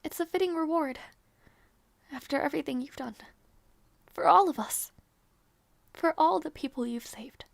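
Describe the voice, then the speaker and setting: A woman playing a gentle, earnest young princess in a romantic fantasy audio roleplay, speaking softly and hesitantly with tender affection. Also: high-pitched, gravelly, dry recording. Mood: proud, tender. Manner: soft, hesitant, tender, halting delivery.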